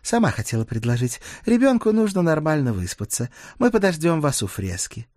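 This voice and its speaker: A man acts out a confident half-vampire woman from an audiobook, mixing tenderness with irritation. The delivery is high and very melodic, teasing yet used to giving orders, in a dry studio sound. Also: sing-song, fairly quick, a little mellow, no echo. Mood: soft, coaxing. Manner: teasing, authoritative. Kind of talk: audiobook character acting.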